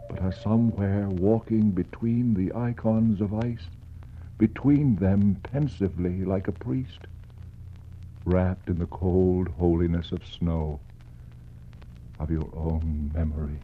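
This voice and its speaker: An actor playing a brooding polar explorer in a 1960s poetic radio drama, speaking in a solemn, declamatory way with dramatic pauses. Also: male voice, sits low, fairly even intonation. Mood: sombre, reflective. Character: brooding male polar explorer searching for a lost expedition. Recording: dull, noisy 1960s radio recording.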